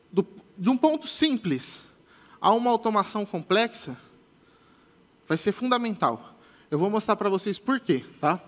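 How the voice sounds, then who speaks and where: Young Brazilian male presenter, high voice, loose and repetitive delivery, live stage mic. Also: fairly bright tone. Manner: casual, repeats "tá?" as a tag, many restarts. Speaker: conference speaker. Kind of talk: technical conference talk. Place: large conference stage with audience. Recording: long hall reverb.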